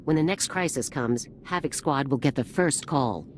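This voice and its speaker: Stern, low-voiced female general. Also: normal speed, a little hiss or hum. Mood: grave. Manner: formal, grave military delivery. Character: stern, hard-nosed female military general in a space opera. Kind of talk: video game cutscene voice acting.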